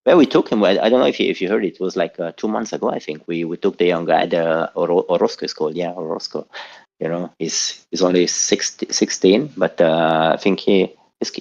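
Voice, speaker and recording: A man's voice: sits low, a little fast, creaky, darkish tone, muffled recording. A man from southern Switzerland whose first language is Italian, calling in to a show and chatting casually in a rambling way, with many restarts. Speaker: caller. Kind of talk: casual call-in chat. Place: home in Zurich.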